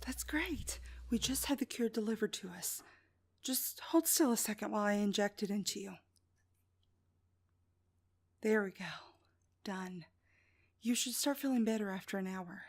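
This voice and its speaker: A woman's voice: mid-range voice, normal speed, pauses often, close to an everyday voice. A woman playing a helpful, level-headed alien station medic in an ASMR audio roleplay, matter-of-fact and reassuring.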